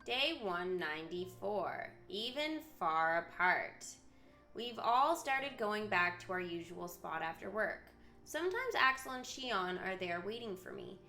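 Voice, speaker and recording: A woman's voice: mid-range voice, sing-song, a little slow, bright, forceful tone. A woman streaming a video game, switching between live commentary and reading story text aloud. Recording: roomy echo, game music behind. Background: video game music.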